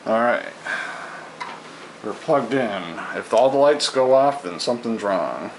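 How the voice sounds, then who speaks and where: A man casually narrating his hands-on work, halting as he thinks aloud, recorded on a handheld camera indoors. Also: medium pitch, expressive, slight background noise, rough recording. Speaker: hobbyist radio repairer presenting his own video. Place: indoors at a wooden table in a home. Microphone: handheld camera, held near the work.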